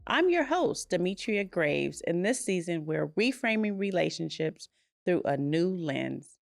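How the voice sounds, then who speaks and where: A woman hosting a podcast interview, her voice sitting low with a gravelly fry. The sound is dry and close, with no room in it. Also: big pitch swings, unhurried, dry recording, soft background music. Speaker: podcast host and attorney.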